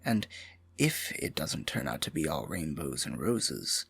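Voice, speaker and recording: Scheming fantasy royal advisor, male actor: gravelly, highly animated, intimate and patronizing, with soft music underneath. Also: measured pace, heavily acted. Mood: patronizing, soothing. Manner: silky, condescending, mock-sympathetic, often dropping to a murmur. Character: smooth-talking scheming male royal advisor, falsely sympathetic. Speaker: voice actor in an audio roleplay. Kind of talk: fantasy ASMR roleplay acting, intimate and theatrical.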